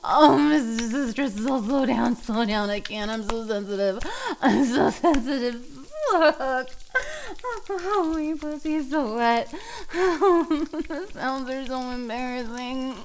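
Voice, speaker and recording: A woman playing a submissive, needy cat girl in an intimate adult audio roleplay, halting and breathy as she pleads. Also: high voice, slight background noise. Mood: desperate, overwhelmed.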